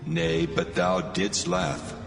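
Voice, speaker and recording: A man's voice: on the high side, big pitch swings, slow pace, vocal fry, full character voice. A man voicing God as a solemn, commanding deity in a declamatory dramatized scripture reading. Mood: stern. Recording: long cavernous reverb, loud music behind.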